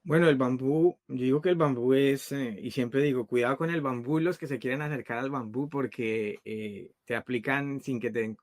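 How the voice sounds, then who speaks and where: A man's voice: mid-range voice, moderate pace, hardly any pauses, no room sound. A middle-aged man from Colombia, a guest in an interview, telling his story with many fillers and restarts. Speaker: guest, bamboo builder and founder. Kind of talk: casual interview talk.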